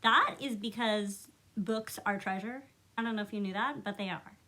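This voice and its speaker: A woman hosting story time for children, reading and chatting in a playful, expressive way as if to friends. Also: medium pitch, normal speed. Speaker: children's storyteller and show host. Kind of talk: animated storybook reading and chat to young viewers.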